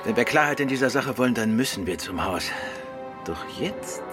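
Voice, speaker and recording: A man playing a shrewd, composed detective in a German radio drama, speaking in a measured, analytical way. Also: medium pitch, lively intonation, over music, lightly acted. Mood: confident, knowing. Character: shrewd, composed male amateur detective, husband.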